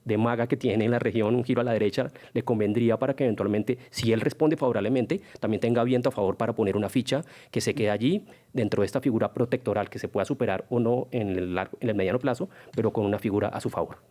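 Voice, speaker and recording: A man's voice: fairly low pitch, mellow. A man, a guest analyst on a television news panel, speaking in long discursive answers. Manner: rapid, discursive, with restarts. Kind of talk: debate commentary.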